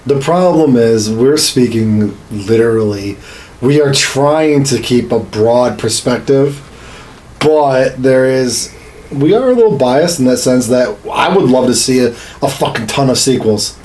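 A man's voice: mid-range voice, lively intonation, measured pace, darkish tone. A man in his thirties chatting casually as a podcast co-host in a small indoor room. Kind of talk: casual conversational chat about games and movies. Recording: echoey, noisy room recording.

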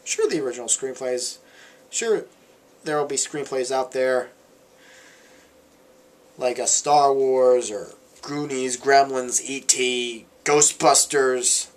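A man's voice: mid-range voice, takes it slowly, long pauses. A young man giving a casual, emphatic opinion monologue to a camera at arm's length in a small bedroom. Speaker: vlogger. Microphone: camera or webcam at arm's length.

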